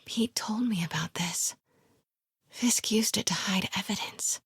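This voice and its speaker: A woman playing a plucky, quick-witted young female investigative reporter in a video game, with a breathy voice. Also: mid-range voice, no echo, whispered, clean studio sound. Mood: hushed, focused. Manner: hushed muttering to herself.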